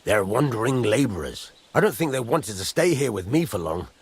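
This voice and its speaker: An actor playing a blunt, earthy medieval blacksmith boss in a video game, with a rough voice. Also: male voice, medium pitch, very animated, a little fast. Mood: grumbling. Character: gruff, plain-spoken medieval blacksmith boss.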